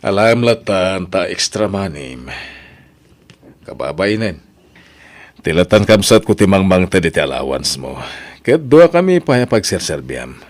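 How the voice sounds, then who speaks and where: A man's voice: low-pitched, sing-song, unhurried, pauses often. An actor with an Ilocano accent playing an earnest, reserved young working man in a radio drama. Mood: kindly, serious.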